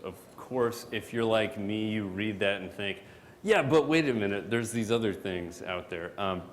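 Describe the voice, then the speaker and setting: A man giving a relaxed, joking conference talk as a product manager, full of fillers. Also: on the low side. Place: conference hall. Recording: hall reverb, faint background noise.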